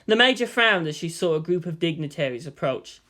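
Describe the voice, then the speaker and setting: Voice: medium pitch, lively intonation, a little fast, sharp tone. A narrator giving a dramatic scripted reading of a story.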